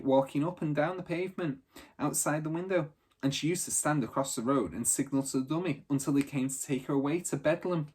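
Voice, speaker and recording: A man narrating a children's storybook aloud in an expressive, teacherly reading style. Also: medium pitch, husky.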